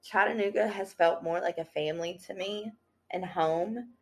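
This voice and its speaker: A woman's voice: mid-range voice, normal speed, gravelly. A woman, a thrift and sewing guest talking casually with lots of "like" and "yo.". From Tennessee. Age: 30s. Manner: slangy, animated, peppered with "like" and "yo". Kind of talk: casual podcast conversation.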